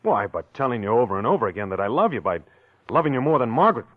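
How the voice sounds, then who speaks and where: An American actor playing a jealous, obsessive wife-killer in a confessional, insistent 1940s radio mystery drama. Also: male voice, medium pitch, sing-song, rapid-fire, no room sound. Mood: jealous, pleading. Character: jealous, obsessive man who murdered his wife, narrating his crime. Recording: dull vintage 1940s radio recording.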